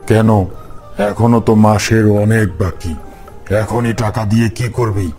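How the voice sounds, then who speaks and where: A man's voice: lowish voice, flat delivery, normal speed, a little mellow, natural voice. A man voicing a callous, stingy boss in a cartoon, scornful and dismissive. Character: callous, mocking, stingy boss of poor worker. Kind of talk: cartoon voice acting. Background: background music.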